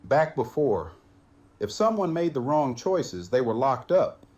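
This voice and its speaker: A man acting as an earnest, conscientious survivor in an audio drama, speaking in a halting, reflective way. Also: medium pitch, big pitch swings, darkish tone. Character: Morgan, earnest, conscientious male survivor seeking mercy.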